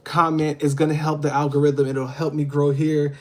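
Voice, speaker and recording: A man's voice: a little high, little pitch movement, normal speed. A man from hosting a casual livestream, reading viewer comments aloud and answering them with frequent ums and uhs. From Louisiana. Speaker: livestream host.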